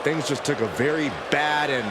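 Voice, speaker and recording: A man's voice: a little high, normal speed, lightly acted. A man playing an earnest wrestling TV commentator, calling events as play-by-play. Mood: concerned, surprised. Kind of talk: sports commentary. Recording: loud arena noise behind commentary.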